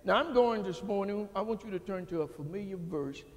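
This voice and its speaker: An older man preaching a sermon from a church pulpit into a podium microphone, building from quiet talk into fervent, rising calls to the congregation. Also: high-pitched, a little fast. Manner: preaching with rising call-and-response intensity. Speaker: pastor. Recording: church hall reverb.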